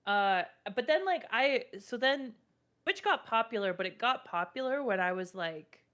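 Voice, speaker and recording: A young woman guesting on a stream over a voice call, loud, playful and quick to laugh. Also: on the high side, animated. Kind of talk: casual chat over voice call. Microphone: voice call mic.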